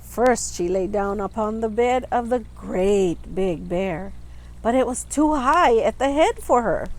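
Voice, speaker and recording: Female storytime reader for young children: unhurried, expressive, lively intonation. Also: on the high side, low-quality recording. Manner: expressive, with simple asides to young listeners. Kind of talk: children's picture-book read-aloud.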